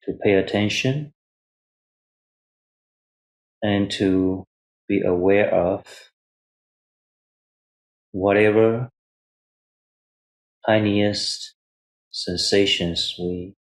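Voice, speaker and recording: A man guiding meditation as a teacher, speaking in short, measured phrases with long pauses between them. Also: lowish voice, steady tone, poor audio. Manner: refers to himself in third person as teacher. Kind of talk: guided meditation instruction.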